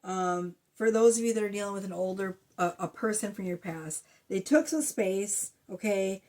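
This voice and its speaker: A woman giving a casual tarot reading to her viewers, blunt and profane in her chatty way, talking through a sore, slightly hoarse throat. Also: medium pitch, moderate pace, a little mellow. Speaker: tarot reader.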